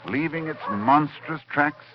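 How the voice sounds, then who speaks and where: An actor playing a driven, fearful scholarly doctor with an intense, dramatic delivery in 1940s radio horror style. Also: male voice, fairly high pitch, measured pace, background noise, lightly acted. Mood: grave, haunted. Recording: dull old radio recording with music.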